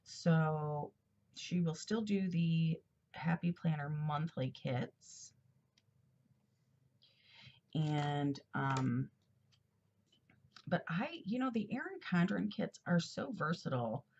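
A woman's voice: sits low, animated, slow, pauses often, a little mellow, muffled recording, slight background noise. A middle-aged woman chatting in a rambling, casual way while decorating a planner at her desk, a little congested. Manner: chatty, rambling, with fillers and asides. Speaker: planner vlogger. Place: at a desk indoors. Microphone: overhead camera.

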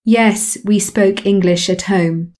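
A woman calmly repeating English model sentences in a slow, measured way for a lesson. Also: medium pitch. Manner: calm, measured repetition of phrases. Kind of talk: slow scripted language drill.

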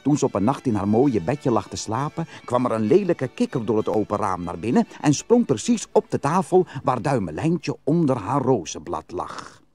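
A man narrating a fairytale as a storyteller in an expressive, scripted style. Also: medium pitch, moderate pace, hardly any pauses, husky. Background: music.

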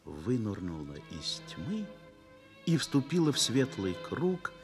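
A man narrating a Soviet radio drama as an earnest literary storyteller, expressive and measured, swelling and dropping to a hush. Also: lowish voice, over music, heavily acted. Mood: earnest, reflective.

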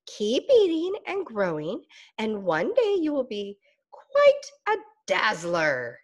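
A bright, cheerful firefly from a picture book, reassuring a fretful friend with swooping, lively tones. It speaks slowly and sweetly. A woman voices it. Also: fairly high pitch, highs cut off, exaggerated voice.

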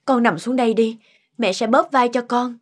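A woman's voice: on the high side, normal speed, natural voice. A woman voicing a caring, worried mother of a young girl in a cartoon dub. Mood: worried, soothing. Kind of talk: cartoon dubbing.

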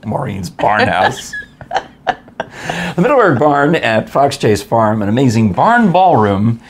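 A man's voice: medium pitch, big pitch swings, takes it slowly. A man in his fifties hosting a casual studio chat, joking around and reading sponsor details. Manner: jokey, rambling, playful. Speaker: host. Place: small studio set at a table.

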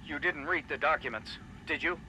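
Guy doing a nasty condescending scientist boss for a game, kinda high voice, clear noise behind. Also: normal speed, close to an everyday voice. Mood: sneering contempt. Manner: condescending, sneering, profane. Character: arrogant, abusive male chief biologist. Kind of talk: video game voice acting.

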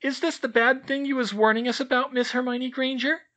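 A man voicing a timid little female house-elf who squeaks and speaks in broken grammar. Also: steady tone, fairly quick, fairly bright tone. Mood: eager, anxious.

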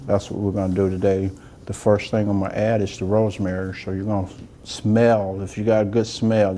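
A soft-spoken middle-aged chef talks through a recipe in a homey, rambling way. His voice sits low and mellow. The demo kitchen recording carries a faint hiss underneath. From Georgia, US. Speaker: chef and cooking show host. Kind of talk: unscripted cooking demonstration. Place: indoor demo kitchen set.

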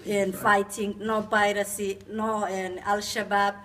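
A woman giving a short speech at a hall event into a handheld mic, halting and restarting her sentences. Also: mid-range voice, little pitch movement, a bit bright. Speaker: event speaker. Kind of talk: speech to an audience. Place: banquet hall. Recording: roomy hall with faint noise.

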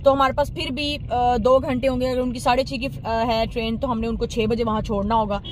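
A woman's voice: a little high, flat delivery, fairly quick, poor audio. A young adult woman with a Pakistani accent, chatting casually to viewers as a vlogger. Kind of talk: casual vlog chat. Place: inside a car, on city streets. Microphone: phone at arm's length. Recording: loud car and traffic noise.

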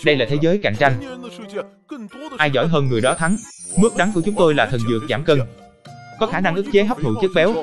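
Boastful high-voiced bitter melon played by a man. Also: expressive, fast talker, pauses often, music in the background, close to an everyday voice. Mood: boastful, combative. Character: boastful, quarrelsome talking bitter melon, proud of itself. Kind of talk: cartoon dub.

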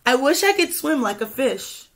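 A woman's voice: high-pitched, sing-song, moderate pace, fairly bright tone, slight background noise, pitched above the performer's own voice, exaggerated voice. Woman reading as a small wishful storybook chameleon. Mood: wistful. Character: small, wishful, discontented chameleon in a picture book.

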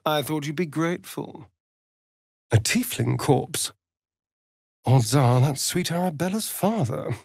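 A man's voice: on the high side, lively intonation, slow pace, gravelly, long pauses, darkish tone, husky, dry recording, clean studio sound, heavily acted. A man playing a vain, sardonic aristocratic vampire rogue in a video game, with a British accent and an arch, theatrical drawl. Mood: haughty, then uneasy, curious. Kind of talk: video game character acting.

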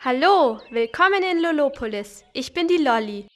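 A high sweet little cartoon girl, eager and friendly, kids game vibe. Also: expressive, moderate pace. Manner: bright, eager, sweetly pleading. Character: cheerful cartoon girl Lolli, young and friendly. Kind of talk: children's game voice acting.

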